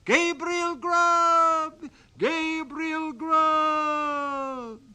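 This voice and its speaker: A wild, distant goblin chorus. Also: male voice, fairly even intonation, bright, forceful tone, full character voice. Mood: eerie chanting. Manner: high, drawn-out calling from far off.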